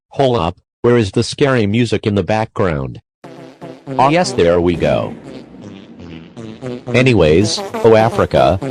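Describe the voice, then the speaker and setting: A man reading a scripted comic emergency alert in a sarcastic, mocking manner. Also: fairly low pitch. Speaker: narrator of a parody emergency alert. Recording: music playing behind the voice. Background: loud background music.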